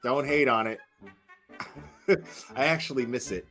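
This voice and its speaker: An American man speaks as a streamer doing live reaction commentary. His delivery is informal and slangy, with a mocking edge and a middling pitch. Music plays faintly behind him. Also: a little slow, fairly bright tone.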